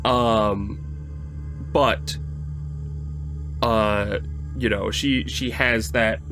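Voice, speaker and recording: A man improvising narration for his tabletop character in a halting, tentative manner. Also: mid-range voice. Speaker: guest player.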